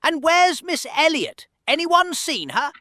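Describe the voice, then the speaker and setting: A woman playing a motherly, genteel, gently bossy housekeeper in an audio drama, polite and fussing. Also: high-pitched, very animated, a little slow, no echo, clean studio sound, full character voice. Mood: welcoming, fussing.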